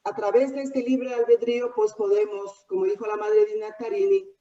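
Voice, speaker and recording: A woman with a mellow, soft voice talks through her thoughts in class, pausing and rephrasing as she goes. She sounds far from her microphone, and the call audio is dull and muffled. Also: mid-range voice, steady tone. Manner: hesitant, thinking aloud with restarts. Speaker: student. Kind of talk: class participation.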